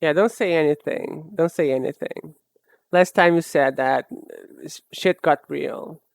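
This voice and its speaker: A young woman streamer chatting casually while she draws, rambling and self-mocking in a soft, low-key way. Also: a little slow, vocal fry, no room sound. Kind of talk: casual chat while drawing live.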